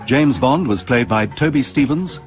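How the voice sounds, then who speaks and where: A male announcer reads scripted credits in a medium-pitched voice. Light music plays behind him. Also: expressive, moderate pace.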